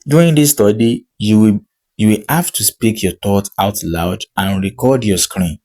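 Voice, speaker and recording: A man's voice: on the low side, a little slow, dark tone. A man whose first language is not English, walking viewers through a screen-share tutorial as an online money coach, reading on-screen text aloud and often checking in with "okay?".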